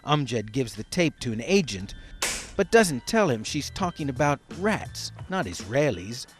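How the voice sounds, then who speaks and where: A man narrating a news report as correspondent, with a measured, even broadcast delivery. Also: mid-range voice, slight background noise.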